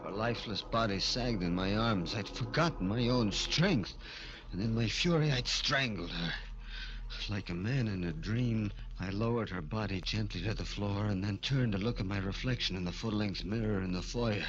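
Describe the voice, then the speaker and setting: A man's voice: on the low side, normal speed, highs cut off, natural voice. An actor playing a tormented murderer, a small man grown into a giant, in 1940s radio horror style. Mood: anguished narrating. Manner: melodramatic, anguished. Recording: old radio recording with faint music.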